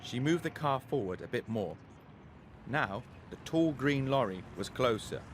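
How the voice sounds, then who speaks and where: A man narrating a scripted children's story as a storyteller, expressive and deliberate, with faint vehicle noise behind him. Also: medium pitch.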